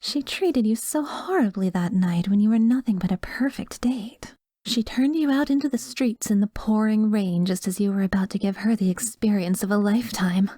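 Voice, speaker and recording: A possessive female therapist, acted out by a woman in an ASMR audio drama, speaking at a medium pitch. She is soft and breathy, smoothly professional yet coaxing and controlling. The sound is very dry and close. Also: normal speed, no room sound, natural voice. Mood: jealous, disdainful. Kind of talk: ASMR roleplay acting.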